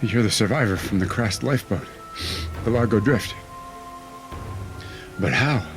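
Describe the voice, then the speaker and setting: Gruff old wounded space hunter, gravelly n slow, straining, music behind. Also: male voice, lowish voice. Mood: suspicious. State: injured, weak and straining. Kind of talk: science fiction audio drama. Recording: prominent music underneath.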